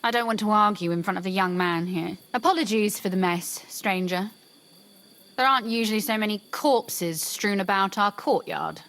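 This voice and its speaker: A woman's voice: medium pitch, normal speed, a bit bright, close to an everyday voice. An actress playing a feisty, defiant young noblewoman in a medieval video game, speaking in a spirited, direct way. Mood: wry, polite. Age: young adult. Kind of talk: video game cutscene acting.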